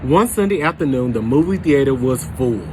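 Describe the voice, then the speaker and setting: A man reading a picture book aloud to children, pausing to put questions to his young listeners. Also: medium pitch, expressive, noisy background. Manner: pauses to prompt young listeners. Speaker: storybook reader for children.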